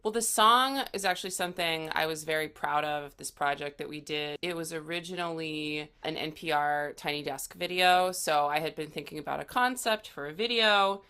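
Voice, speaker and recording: Creaky, low-voiced woman chatting. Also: normal speed, few pauses, pushed and bright. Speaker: musician, interview guest. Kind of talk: casual interview chat.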